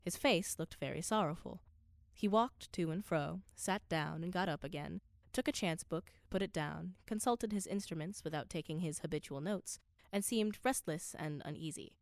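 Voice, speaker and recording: A woman's voice: on the low side, moderate pace, gravelly, no room sound, studio quality. A woman playing an earnest scholar who narrates an undersea voyage in a radio play, with precise, literary, dramatic delivery. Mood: measured, puzzled.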